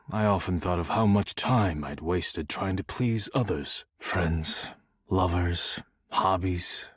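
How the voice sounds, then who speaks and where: A stern, dutiful game character with a low, very husky voice. He speaks formally and precisely, every phrase stiff and measured, in a dry, close sound. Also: no room sound. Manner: formal, measured, stiff diction without contractions. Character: stern, formal, work-obsessed man, devoted to porcelain. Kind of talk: video game character dialogue.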